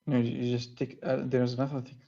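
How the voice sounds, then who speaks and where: Fast-talking male caller with an Arabic accent, slightly high, on a dull line. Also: little pitch movement, gravelly, mellow. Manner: fast, interrupting, repeats questions.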